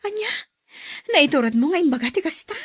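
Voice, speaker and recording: A woman's voice: high voice, animated, brisk, fairly bright tone, no echo, clean studio sound. A woman playing a frank sister in a radio drama, conversational and reproachful with her brother.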